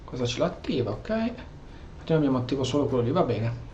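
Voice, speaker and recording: A man's voice: medium pitch, sing-song, fast, highs cut off, background noise. A man from Italy doing informal, slangy gameplay commentary with some role-play, often muttering to himself. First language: Italian. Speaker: gaming streamer. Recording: echoey room, faint game music. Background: game music.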